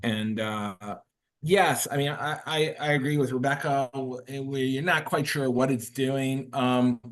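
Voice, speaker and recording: A man's voice: mid-range voice, fairly even intonation, a little slow. A man who is a guest author, talking casually on a video call, rambling with many fillers and restarts. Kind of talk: casual webinar talk.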